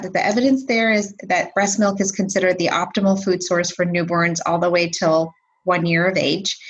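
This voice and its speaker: A woman pediatrician co-hosting a podcast, explaining in a measured, careful way. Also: mid-range voice, husky, lo-fi sound. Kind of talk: casual podcast chat.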